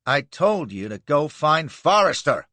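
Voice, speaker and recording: A man's voice: lowish voice, big pitch swings, normal speed, fairly bright tone, no room sound. A man doing a staccato young Yankee commander. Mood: brusque. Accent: American. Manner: staccato, snapping. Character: wounded young American commander, brusque.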